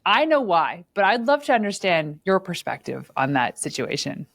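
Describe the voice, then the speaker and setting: A woman from Northern Ontario hosting an interview podcast, chatty and quick to laugh, with plenty of fillers. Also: lowish voice, sing-song, vocal fry, fairly bright tone, dry recording. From Northern Ontario, Canada. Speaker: podcast host. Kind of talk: casual interview chat.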